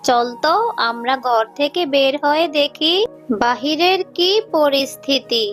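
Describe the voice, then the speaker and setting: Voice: high voice, measured pace, a bit bright, faint music behind. A performer voicing a worried, protective mother fox in a children's cartoon, speaking in a fretful, tender way. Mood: exasperated, fretful.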